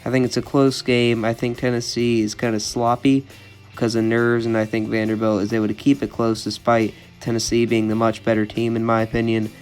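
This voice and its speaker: A man's voice: mid-range voice, brisk, soft background music. A young man with a cold, sounding congested, giving college football predictions in an opinionated, repetitive way as a channel host. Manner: monotone, opinionated, repeats "I think".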